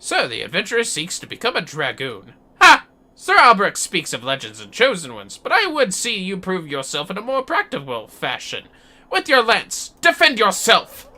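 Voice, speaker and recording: A man's voice: high-pitched, wildly swooping pitch, moderate pace, bright, forceful tone, voice pushed up, full character voice. A man voicing a stern, haughty dragoon trainer from a fantasy game, with formal, declamatory delivery. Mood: haughty challenge, then commanding.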